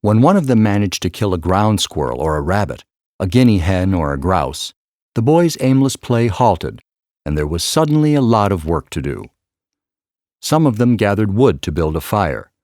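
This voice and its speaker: Deep-voiced man narrating an audiobook expressively. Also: sing-song, normal speed, a little mellow, no echo, studio quality. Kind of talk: scripted story narration.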